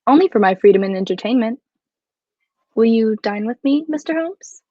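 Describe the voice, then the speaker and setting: A woman's voice: a little high, expressive, moderate pace, no echo, highs cut off. A woman acting a clever, bold, flirtatious adventuress in a radio drama, with a poised, formal and coy way of speaking. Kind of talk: adaptation of a 1940s radio drama.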